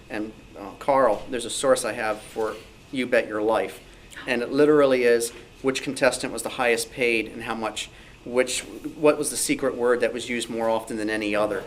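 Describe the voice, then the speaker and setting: A man speaking informally on a panel at a club meeting, explaining things with examples and asides. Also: medium pitch. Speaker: club newsletter editor, panelist. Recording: noticeable background noise.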